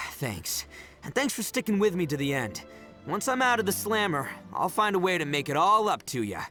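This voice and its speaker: An actor playing a brash, boastful young male oni gang leader in a video game, loud and big-hearted, often laughing. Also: high-pitched, very animated, moderate pace, full character voice. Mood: sheepish. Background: game music.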